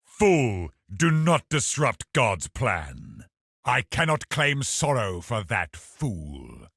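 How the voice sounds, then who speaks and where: A cold, scheming religious officer of a video game villain. The voice is very deep and rough-edged, with slow, formal, calculating delivery that swings widely in pitch. It is recorded close and dry. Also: male voice, huge pitch swings, fairly bright tone, dry recording, heavily acted. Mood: scornful. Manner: measured, haughty, calculating, formal. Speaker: game boss character. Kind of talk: dramatic video game voice acting.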